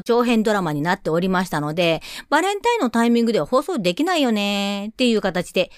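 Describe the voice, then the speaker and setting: A woman's voice: a little high, no echo, clean studio sound. A woman hosting a solo radio show, chatting casually in a playful, self-deprecating way. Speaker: radio show host and scriptwriter.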